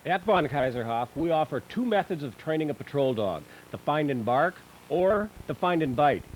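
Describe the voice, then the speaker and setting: A man reading scripted promotional narration in a measured, instructional manner. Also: a little high, animated. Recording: noisy old VHS tape transfer.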